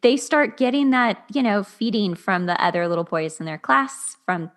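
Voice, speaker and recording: A woman's voice: medium pitch, lively intonation, normal speed. A woman hosting a podcast in casual conversation, agreeable and informal, qualifying her points as she goes. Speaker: podcast host. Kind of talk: casual conversational interview talk.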